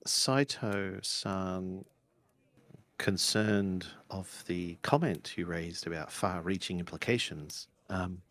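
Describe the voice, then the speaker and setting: Male player as cautious samurai retainer for tabletop game, deep voice, hesitant and courteous. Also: slow pace, a bit bright. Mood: cautious, polite. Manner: formal, hesitant, deferential. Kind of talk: in-character tabletop roleplay.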